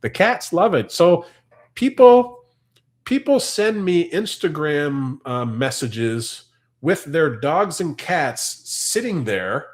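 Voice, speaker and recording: A man's voice: on the high side, expressive, slow pace. Easygoing Canadian man chatting on a livestream. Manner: chatty, easygoing, laughs often. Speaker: farm channel host reading live comments. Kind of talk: casual livestream chat.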